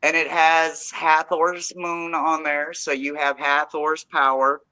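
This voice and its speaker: A woman's voice: low voice, little pitch movement, slow, bright, forceful tone. An older woman co-hosting a tarot live stream, playful and teasing. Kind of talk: casual live stream chat.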